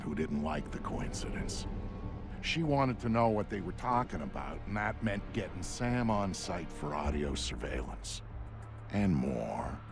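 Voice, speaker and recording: A blunt, streetwise man tells the tale of a covert mission in a video game. His voice is very low, his delivery informal and knowing, with music clearly behind him. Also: full character voice. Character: blunt, streetwise male insider recounting a covert operation. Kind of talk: conversational storytelling narration in a video game.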